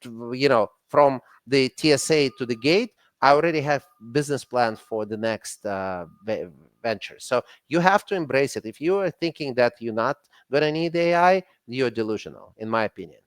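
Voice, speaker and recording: A man with a Russian accent, a company CEO talking as a podcast guest in an animated way, often repeating words as he warms up. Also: medium pitch, moderate pace, no room sound. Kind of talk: casual podcast conversation.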